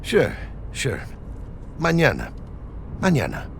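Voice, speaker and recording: A man's voice: mid-range voice, wildly swooping pitch, slow, gravelly, a little hiss or hum, full character voice. A male actor voicing a brash, big-hearted street mercenary in a video game, with a Mexican American accent and slangy talk sprinkled with Spanish. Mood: teasing, focused. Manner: slangy, swaggering, Spanish words mixed in.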